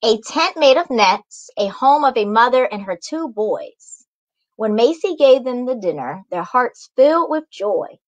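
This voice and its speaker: A woman's voice: on the high side, very animated, unhurried, highs cut off. A young adult woman, a children's author, reading a storybook aloud and explaining it simply to young listeners. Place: small indoor room.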